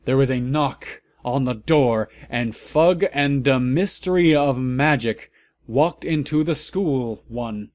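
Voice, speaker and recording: A man's voice: medium pitch, takes it slowly, a little mellow, dry recording. Casting: male narrator, mock-serious and theatrical, comic audiobook style, very little room sound. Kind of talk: comedic dramatic reading of fan fiction.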